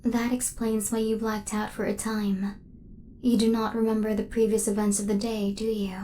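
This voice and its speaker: A woman playing a devoted, protective female hunter robot in a soft-spoken ASMR roleplay, with formal, precise, machine-like phrasing. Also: mid-range voice, level tone, normal speed, a little hiss or hum, lightly acted. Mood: calm, reassuring.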